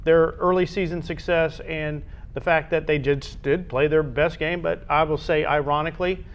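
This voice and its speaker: A man hosting a conversational sports show, with halting phrasing that often repeats itself. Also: fairly high pitch, fairly even intonation. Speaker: sports talk show host.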